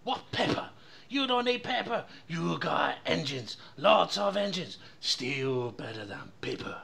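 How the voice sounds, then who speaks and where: A man's voice: fairly high pitch, big pitch swings, a little slow, vocal fry, bright, forceful tone, full character voice. A man voicing a pushy male engine supplier rep in a mock Japanese accent, in broken English, in a comedy podcast skit. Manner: broken English, hard-selling, swears.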